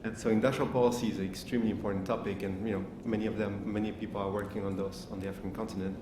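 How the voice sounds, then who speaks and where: A man on a summit panel giving a measured, explanatory answer to audience questions. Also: fairly low pitch, little pitch movement. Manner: measured, explanatory, thinking aloud. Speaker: panel speaker. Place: lecture hall. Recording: echoey hall, distant mic, noisy.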